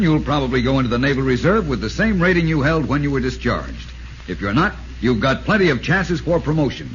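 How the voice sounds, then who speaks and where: An American man reading as an announcer in the style of 1940s radio. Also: mid-range voice. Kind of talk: 1940s radio ad and credits read. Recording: muffled, hissy 1940s radio recording.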